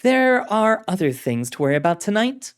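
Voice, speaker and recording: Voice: medium pitch, sing-song, normal speed, clear, resonant tone, pitched above the performer's own voice, lightly acted. A narrator voicing an authoritative female director in an audio story, giving orders in a composed, formal, commanding manner. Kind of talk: audio story character dialogue.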